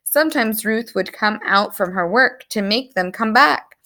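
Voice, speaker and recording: Bright, lively woman reading a children's story. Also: medium pitch, a little slow. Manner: animated, explains words to young listeners. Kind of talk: expressive read-aloud storytelling for young children.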